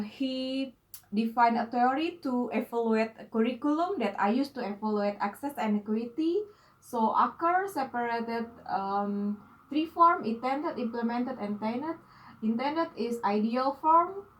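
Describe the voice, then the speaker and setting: A woman's voice: on the high side, poor audio. A woman in her thirties from Indonesia, a native Indonesian speaker, presenting her research proposal as a PhD student, listing her points one by one with fillers.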